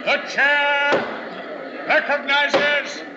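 A man's voice: very high-pitched, completely flat delivery, very slow, muffled recording, heavily acted. An actor playing a formal congressman calling out in a noisy, echoing old-time radio crowd scene. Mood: loud, formal. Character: shouting congress delegates and formal presiding chairman.

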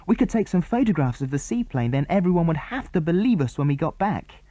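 A man reading aloud and voicing an excitable, impulsive young boy. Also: a little high, brisk, no room sound, pitched above the performer's own voice. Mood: excited.

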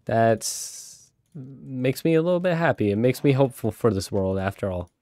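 Young dude gaming, kinda nasally from a cold, rambly laid-back chat. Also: male voice, mid-range voice, normal speed, dry recording, clean studio sound. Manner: rambling, chatty, with sudden excited outbursts. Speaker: gaming channel host. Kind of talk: casual gameplay commentary.

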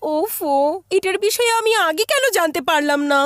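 A woman voicing an excitable, bargain-loving mother in a children's cartoon, full of exclamations. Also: extremely high pitch, moderate pace. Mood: excited. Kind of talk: dubbed children's cartoon acting.

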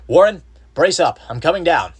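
A man playing a frightened, loyal male friend who shouts desperately to his companion in a horror story. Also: on the high side, lively intonation, normal speed, husky, pitched above the performer's own voice. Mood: resolute.

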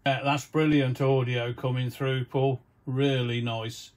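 A man's voice: medium pitch, little pitch movement, unhurried. A man, a fellow operator, giving a casual reply over CB radio. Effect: heard over CB radio.

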